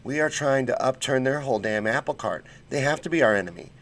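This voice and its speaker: A man's voice: mid-range voice, expressive, quick pace, a bit bright. A man hosting a casual political livestream, reading chat comments and talking bluntly with fired-up conviction and folksy slang.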